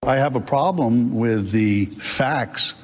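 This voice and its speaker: A man's voice: mid-range voice, takes it slowly. A man speaking formally as a defense lawyer, giving a legal rebuttal. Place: large legislative chamber. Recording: echoing large chamber.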